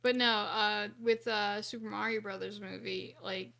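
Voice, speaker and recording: A woman co-hosting a podcast in casual chat, haltingly repeating words as she goes. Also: mid-range voice, flat delivery, gravelly, a bit bright. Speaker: podcast co-host.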